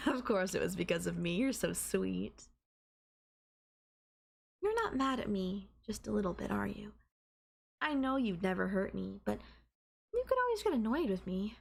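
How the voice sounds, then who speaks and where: A woman playing a doting, obsessive and calmly manipulative girlfriend in an intimate ASMR audio roleplay, coaxing and softly teasing. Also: mid-range voice, creaky. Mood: teasing, affectionate. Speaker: voice actor.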